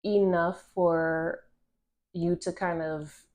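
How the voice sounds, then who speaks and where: A low-pitched woman with a congested, nasal voice reads tarot cards straight into her phone camera. She talks casually and rambles, restarting phrases and leaning on "like" as she feels her way through each card. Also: fairly even intonation, very slow, darkish tone. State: stuffy nose from allergies. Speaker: tarot reader.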